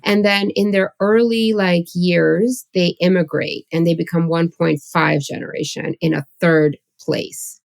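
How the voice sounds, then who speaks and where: A woman in her thirties talks easily as a podcast guest, her voice low and rough with fry. She fills her sentences with casual likes and ums. The sound is dry and close, with no room around it. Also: steady tone, unhurried, hardly any pauses, dry recording. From Pakistani, raised in Saudi Arabia, moved to Toronto at thirteen. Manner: chatty, lots of likes and ums. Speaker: psychotherapist guest. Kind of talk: casual interview chat.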